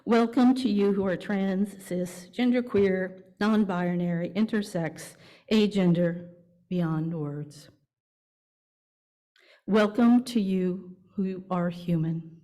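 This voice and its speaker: A woman leading a church service, reading a welcome and announcements to the congregation in measured, deliberate phrases with pauses. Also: medium pitch, dark tone. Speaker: worship leader at a church service.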